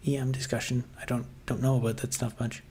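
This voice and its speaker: A man with a tired, slightly hoarse voice, chatting casually on a livestream about his coding project. He clears his throat often and talks hesitantly with lots of fillers. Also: mid-range voice, little pitch movement, quick pace, a little mellow, slight background noise. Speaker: software developer livestreaming. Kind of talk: casual livestream chat, answering viewers.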